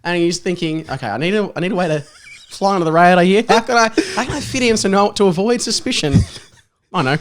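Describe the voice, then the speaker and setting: A man's voice: high-pitched, quick pace, a little hiss or hum. A young man with an Australian accent, a podcast guest bantering wryly in a casual comedic chat.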